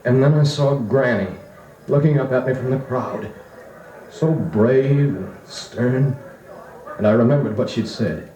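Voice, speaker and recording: A man's voice: mid-range voice, a little slow, dark tone, close to an everyday voice. An actor playing a grown American man wistfully recalling his farm boyhood, narrating a 1940s radio drama sincerely. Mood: earnest. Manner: reflective, sincere storytelling. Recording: dull, echoey 1940s record with music.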